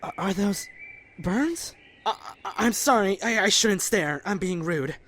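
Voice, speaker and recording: A woman playing a gentle, apologetic young male survivor in a zombie apocalypse audio drama, hesitant and solicitous. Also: medium pitch, expressive, a little slow. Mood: flustered, apologetic. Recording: slightly noisy amateur recording with ambience.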